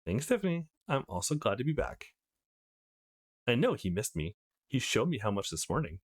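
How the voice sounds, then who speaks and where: A man's voice: medium pitch, very animated, normal speed. Man putting on a teasing, swooping young woman. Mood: pleased. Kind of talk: visual novel character reading.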